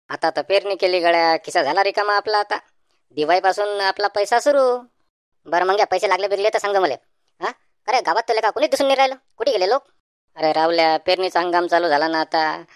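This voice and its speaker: A woman's voice: mid-range voice, a little fast, smooth, no room sound, studio quality, natural voice. A woman voicing a wry, wary young man in a village cartoon, speaking with a Varhadi Marathi accent. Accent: Varhadi Marathi (Vidarbha). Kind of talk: comic village cartoon dialogue.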